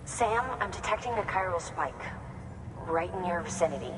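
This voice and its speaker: An alert woman analyst , played by an actress in a video game, pitched a little low. She reports with clinical urgency. Long reverb and clear noise surround her voice. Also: animated, normal speed, lightly acted. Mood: alarmed focus. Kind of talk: dramatic game radio briefing.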